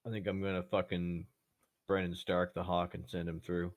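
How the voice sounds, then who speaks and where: A man chatting casually as a player in a tabletop game, blunt and quick to swear. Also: sits low, completely flat delivery, highs cut off.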